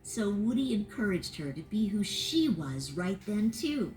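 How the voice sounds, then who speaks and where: A woman narrating a children's read-along storybook in an expressive, sing-song way. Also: medium pitch, unhurried, slight background noise, low-quality recording.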